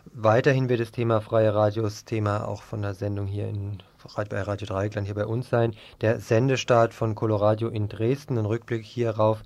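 A man's voice: lowish voice, flat delivery, moderate pace, few pauses, a little mellow. A man presenting on the radio, reading scripted announcements and asking questions.

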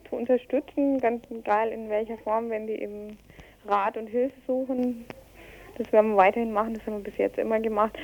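A woman's voice: fairly high pitch, phone-quality sound. A woman activist answering interview questions spontaneously, in colloquial speech with emphasis on key words.

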